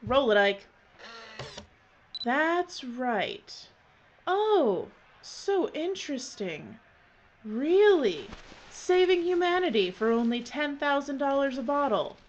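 Woman playing a sarcastic bossy film director, highish voice, some noise behind her. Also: very animated, slow pace, highs cut off, heavily acted. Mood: bossy, impatient, then mocking, sarcastic. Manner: sarcastic, brusque. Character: bossy, jaded, sarcastic female documentary director. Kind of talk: film dialogue.

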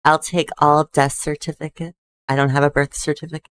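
A player in a tabletop game session, with deadpan humor. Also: medium pitch, brisk, smooth. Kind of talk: playing a tabletop game online.

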